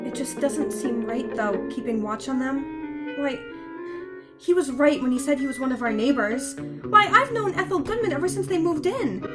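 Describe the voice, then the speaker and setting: Radio play: female actor as troubled, gentle housewife, high voice, clear plucked-guitar backing. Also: lively intonation, normal speed. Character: troubled, kindly wife doubting neighborhood suspicion.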